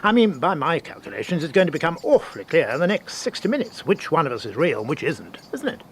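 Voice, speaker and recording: A matter-of-fact English gentleman in a radio mystery. His British voice sits a little high and moves freely in pitch, reasoning things out with wry understatement. Faint noise lies underneath. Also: very animated, fast. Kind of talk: radio drama acting.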